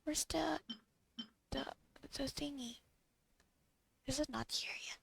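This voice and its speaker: A woman's voice: high voice, fairly even intonation, slow pace, gravelly. A woman gamer giving casual gameplay commentary, muttering to herself in fragments that trail off. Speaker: gamer playing and reacting. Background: video game music.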